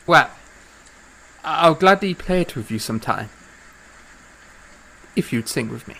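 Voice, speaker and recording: An actor playing an earnest, impassioned and self-doubting young composer in a period audio drama, with stammering, effusive, formal old-fashioned speech. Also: male voice, on the high side, expressive, measured pace, gravelly, bright, forceful tone, a little hiss or hum, exaggerated voice, poor audio. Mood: gentle, bashful.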